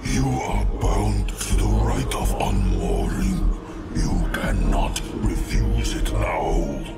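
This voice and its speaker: Very deep, whispery, ruthless imperial zealot. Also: male voice, big pitch swings, full character voice. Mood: cold, commanding. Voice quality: deep gravelly breathy rasp. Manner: slow, grandiose, ominous pronouncements. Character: cold imperious male zealot, ruthless guardian of empire. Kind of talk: dramatic fantasy game acting. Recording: loud music behind the voice. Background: loud game music.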